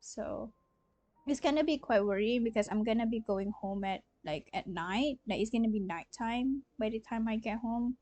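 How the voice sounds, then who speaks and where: High-voiced young woman, hesitant streamer. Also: normal speed, warm tone. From Malaysia. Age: young adult. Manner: hesitant, rambling, trails off. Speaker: game streamer. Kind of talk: casual livestream chat. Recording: game music behind the voice.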